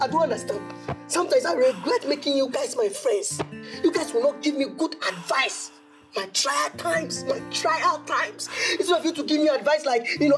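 A male actor's young Nigerian man laments in a high, whiny voice. He is theatrical and full of self-pity, as in a comedy skit, with guitar music clearly behind him. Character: self-pitying, dramatic young man lamenting lost love.

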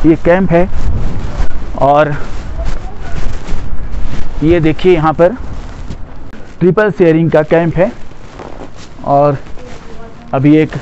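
A middle-aged man with a high voice guides viewers on a trip, talking in a plain, explanatory way. The sound is dull and lo-fi, recorded outdoors on a handheld camera. Loud river and open-air noise runs under his voice. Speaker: travel vlogger. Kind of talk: casual travel narration to camera. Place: outdoors by a mountain river and tent camp.

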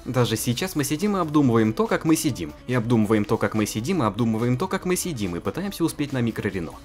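A woman's voice: extremely low pitch, big pitch swings, quick pace, darkish tone. A woman voicing a sarcastic young female co-author with a wry, exasperated manner. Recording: faint background music.

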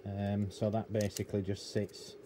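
Middle aged british bloke explaining kit at his workbench, lowish voice, bit rambly, some background noise. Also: completely flat delivery, normal speed. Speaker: radio technician and hobbyist presenter. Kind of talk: unscripted hands-on demonstration, casual explanation. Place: indoor cluttered electronics workbench. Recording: noticeable room noise behind voice.

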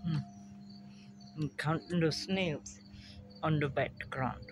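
An older woman with a German accent, musing slowly to a phone camera in her backyard about memory and family. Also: low voice, over music. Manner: slow, musing, drifting between thoughts. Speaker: vlogger. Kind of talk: rambling personal monologue to camera. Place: backyard outdoors by a fence. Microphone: phone camera at arm's length. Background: crickets and insects.

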